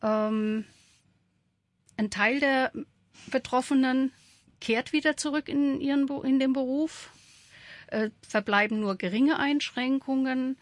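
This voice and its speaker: A woman's voice: on the high side, dry recording. A woman in her sixties who leads a support group, explaining as a radio guest with frequent hesitations. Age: 60s. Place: radio studio.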